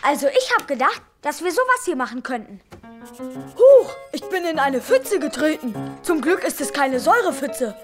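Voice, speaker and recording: An actor playing a naive, cheerful middle-school boy in a German audio drama, guileless and excitable in manner. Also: sits high, moderate pace, music in the background. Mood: silly.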